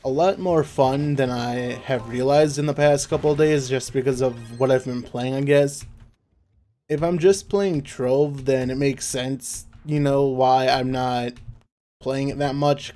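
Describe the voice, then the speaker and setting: A young man talking casually in a laid-back monotone over his gameplay, with lots of fillers. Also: mid-range voice, unhurried, soft background music. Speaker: gaming YouTuber.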